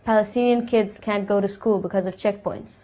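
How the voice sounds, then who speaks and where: An eighteen-year-old woman, calmly delivering a scripted appeal to the camera. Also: medium pitch, fairly quick, muffled recording. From Israel. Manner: calm, earnest statements.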